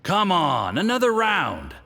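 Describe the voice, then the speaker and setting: A man's voice: high voice, huge pitch swings, slow pace, bright, forceful tone, a little hiss or hum, exaggerated voice. Smug male villain in echoing hall. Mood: mocking. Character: smug male villain conspirator, jovially pushy drinker. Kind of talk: video game voice acting.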